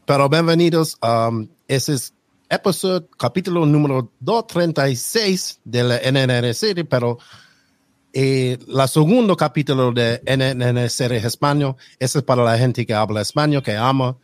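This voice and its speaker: Host, male, native English speaker, halting Spanish with English slips, dry close sound. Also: mid-range voice, no echo. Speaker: podcast host. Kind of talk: casual podcast intro.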